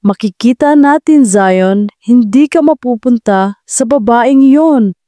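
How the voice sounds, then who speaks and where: A woman's voice: fairly high pitch, lively intonation, takes it slowly, mellow, no room sound, voice pushed up. Woman acting jealous, accusing romantic rival woman. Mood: angry, threatening. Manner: accusing, emphatic.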